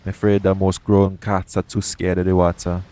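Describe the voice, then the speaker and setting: A man playing a roguish, caring pirate captain in an intimate audio roleplay, speaking in a soothing, gently teasing manner. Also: low voice, completely flat delivery, moderate pace, background noise, natural voice. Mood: teasing, playful.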